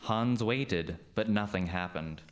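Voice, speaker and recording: Deep, slow-paced male storyteller. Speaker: narrator. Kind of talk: scripted spooky storytelling for radio play. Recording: faint background noise.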